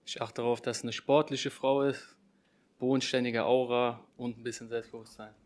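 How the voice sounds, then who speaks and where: A man's voice: medium pitch, little pitch movement, normal speed, a little mellow. A man in his twenties from Hanau, a contestant talking in a matter-of-fact, probing way. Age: 20s.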